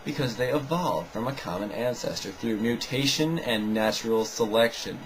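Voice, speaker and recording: A man's voice: medium pitch, normal speed, slight background noise, rough recording. A man giving a scripted, argumentative rebuttal commentary in a sarcastic, dismissive way. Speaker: video narrator rebutting another video.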